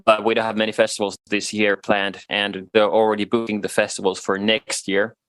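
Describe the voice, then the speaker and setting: A man in his thirties from Finland, Swedish as his first language, chatting casually as a guest with frequent laughs and halting restarts. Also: on the low side, level tone, a bit bright, no room sound. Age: 30s. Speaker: guest musician. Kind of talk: casual interview chat.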